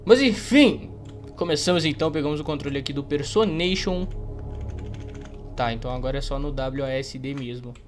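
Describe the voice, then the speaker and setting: A man's voice: on the high side, expressive, normal speed, soft background music. A young man with a Brazilian accent giving playful, slangy, casual gameplay commentary as a YouTuber. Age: young adult. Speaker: gaming YouTuber.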